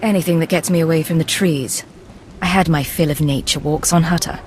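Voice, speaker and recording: A woman's voice: low-pitched, fairly even intonation, fairly quick, a little hiss or hum, lightly acted. An actress playing a tough, sardonic female secret agent in a video game, with a dry, cool, menacing manner. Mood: dry, questioning. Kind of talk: scripted video game dialogue.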